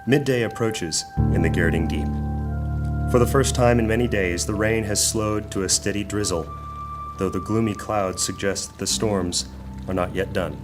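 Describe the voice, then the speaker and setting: A man narrating a scripted fantasy audio drama in a deliberate, descriptive storytelling manner. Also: lowish voice, music in the background.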